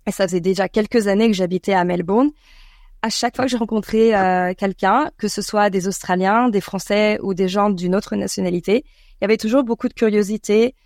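A woman from France with a French accent, answering interview questions conversationally and thoughtfully, often pausing mid-sentence. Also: mid-range voice, fairly even intonation, darkish tone, dry recording, studio quality. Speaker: podcast creator being interviewed.

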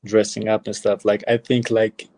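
A young man speaks English with an Albanian accent, his low voice dark and mellow. He keeps it fairly flat and even, pausing often on fillers and restarting his sentences as he answers casually. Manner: hesitant, many fillers and restarts. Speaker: footballer guest.

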